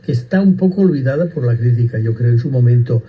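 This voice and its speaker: A man's voice: mid-range voice, lively intonation, fast, breathy edge. Chatty radio co-host, man, muffled. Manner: opinionated, chatty. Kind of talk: radio chat.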